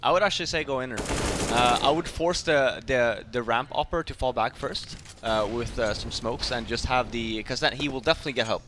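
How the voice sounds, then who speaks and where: A male esports analyst talks rapidly at an average pitch. He lays out tactics step by step in a casual, explanatory way, with faint background noise.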